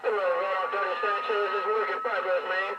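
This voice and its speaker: A man's voice: sits high, little pitch movement, normal speed. A man chattering on air as a CB operator, heard through a radio speaker. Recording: dull, noisy CB radio reception.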